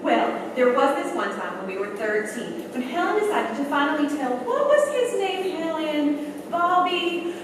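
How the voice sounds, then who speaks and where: A woman's voice: high-pitched, very animated, unhurried. A woman playing an undercover chief posing as a sweet, folksy young newcomer in a staged radio play. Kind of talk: staged 1940s-style radio play acting. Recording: echoey hall from far off, noisy.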